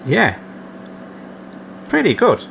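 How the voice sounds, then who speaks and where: A man's voice: high-pitched, extremely slow. A man hosting a repair video, thinking aloud step by step as he gives hands-on technical commentary. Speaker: repair channel host. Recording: clearly noisy, steady hum behind.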